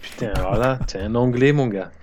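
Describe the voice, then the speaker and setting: A man's voice: mid-range voice, a little fast, a little mellow. A man bantering bluntly with friends in a casual podcast, swearing freely. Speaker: podcast guest, player. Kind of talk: casual chat. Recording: slightly muffled with faint hiss.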